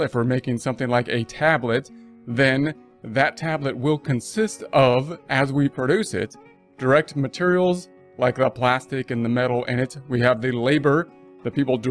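A man teaching accounting in a casual voice-over lecture, talking it through and restarting phrases as he goes. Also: mid-range voice. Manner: conversational, restarts mid-phrase, thinks aloud. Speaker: accounting instructor.

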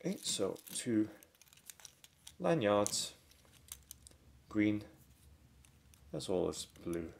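A man giving unhurried, step-by-step product unboxing commentary indoors at a tabletop. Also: lowish voice, big pitch swings, vocal fry, a little mellow. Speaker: product reviewer. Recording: faint handling and crinkling noise. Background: faint clicks and packaging crinkle.

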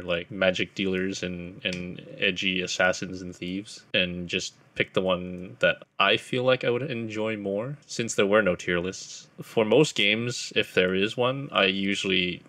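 A man's voice: deep voice, sharp tone, no room sound. A man talking casually as a panelist in a gaming podcast discussion.

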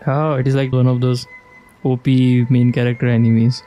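A woman's voice: extremely low pitch, level tone, moderate pace, mellow. A woman doing casual playthrough commentary on a video game, reacting aloud in a teasing, playful way. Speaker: game streamer. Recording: faint game music behind the voice.

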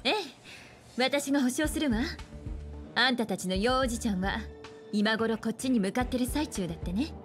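A woman's voice: a little high, normal speed, soft background music, natural voice. An actress playing a composed, assured woman who mentors a space crew in a Japanese anime game.

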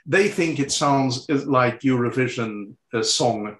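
A man in his sixties, raised with French as his first language, talks slowly in an interview. He pauses often on fillers and restarts his sentences. The room around him gives his voice a noticeable echo. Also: medium pitch. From Sweden. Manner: hesitant, many fillers and restarts. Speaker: guest. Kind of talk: conversational interview answers. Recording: roomy echo.

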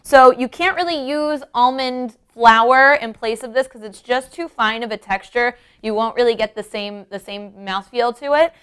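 Clear, higher-pitched young woman hosting. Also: moderate pace, few pauses, fairly bright tone. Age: young adult. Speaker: cooking show host. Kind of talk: instructional cooking presentation, conversational. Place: home-style kitchen, indoors.

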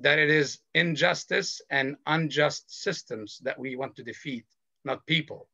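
A middle-aged man from Lebanon, a panelist sharing a personal story in a slow, earnest, measured way over a video call. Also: fairly high pitch, gravelly, sharp tone, slightly rough, dry recording. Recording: dull video call audio.

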